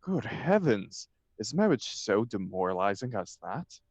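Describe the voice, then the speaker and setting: A man's voice: on the low side, big pitch swings, unhurried, a little mellow, no echo, full character voice. A man reading the part of a flippant, idle upper-class bachelor in a stage comedy, with an arch, mock-serious declamatory manner. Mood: haughty.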